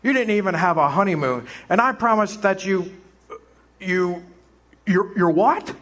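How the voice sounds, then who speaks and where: Guy playing an earnest young family man, high voice, stumbles over words when worked up. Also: animated, measured pace, fairly bright tone. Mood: dejected. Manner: earnest, emphatic, stammering when upset. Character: earnest, frustrated young small-town husband. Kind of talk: amateur stage radio drama.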